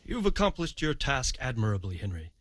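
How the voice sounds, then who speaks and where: An actor playing a formal, measured medieval lord in a video game drama. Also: male voice, medium pitch, very animated, a bit bright, no echo. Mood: formal approval.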